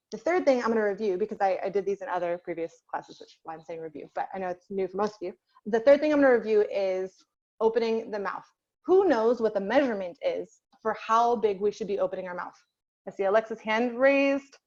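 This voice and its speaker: A woman's voice: medium pitch, a little mellow. A young adult woman teaching an online singing class to children, chatty and encouraging with many fillers, heard over a video call. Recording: dull lo-fi video call audio.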